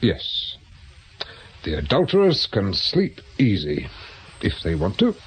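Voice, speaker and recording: An actor playing a dry, wry British husband in a radio drama. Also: male voice, on the low side, wildly swooping pitch, slow, breathy edge, full character voice. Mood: dry, guarded.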